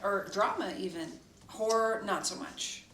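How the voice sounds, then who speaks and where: A woman cast member chatting casually, modest and quick to laugh. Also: mid-range voice. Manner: warm, modest, laughs easily. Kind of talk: casual roundtable chat. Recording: roomy, faint noise.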